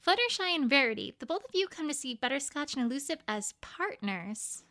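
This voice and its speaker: High, bright, bookish girl unicorn, voiced by a woman. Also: sing-song, brisk, full character voice. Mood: explanatory. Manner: explanatory, careful, slightly formal. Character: earnest, bookish young female unicorn pony.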